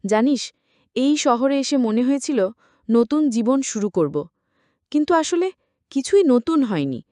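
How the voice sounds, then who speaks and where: A sorrowful aunt in an audio story, played by a woman narrator, with a fairly high voice. She is affectionate and guarded, speaking in a reflective, gently advising way. The sound is very dry. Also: expressive, measured pace, no room sound. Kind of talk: acted dialogue in story narration.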